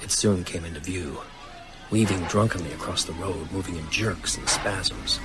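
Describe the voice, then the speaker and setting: Man acting first-person narrator, deep, sombre, measured, scripted horror radio drama, music behind. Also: natural voice. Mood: grave, tense. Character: sombre male writer narrating his horror story.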